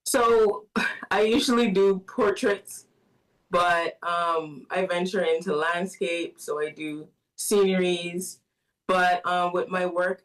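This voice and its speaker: A woman from the Bahamas, answering interview questions softly, with many fillers and small laughs. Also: lowish voice. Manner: soft-spoken, many fillers and little laughs. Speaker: guest artist. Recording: voice somewhat distant from the mic.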